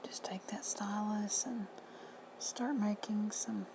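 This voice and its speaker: Hoarse, gravelly woman with a husky voice. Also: medium pitch, fairly even intonation, takes it slowly. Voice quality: whispery, husky. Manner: plain, friendly step-by-step instructions. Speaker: reseller tutorial host. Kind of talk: casual screen-recorded how-to. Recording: noisy home recording.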